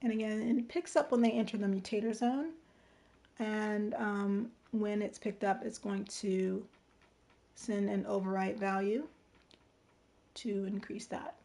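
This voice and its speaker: The woman you'd find on a late livestream walking viewers through a game-building tool, informal, soft-toned and a little hoarse from talking. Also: mid-range voice, steady tone, measured pace. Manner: informal, step-by-step explaining, checking in with "right?" and "okay?". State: slightly hoarse, strained voice. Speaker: livestream tutorial host. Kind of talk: casual game-design tutorial.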